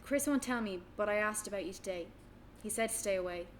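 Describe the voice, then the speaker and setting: A woman's voice: medium pitch, fast talker, close to an everyday voice. A woman with an Irish accent playing a friendly, slightly forward young barmaid in a conversational drama read. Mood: uneasy, earnest. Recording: room recording with faint background noise.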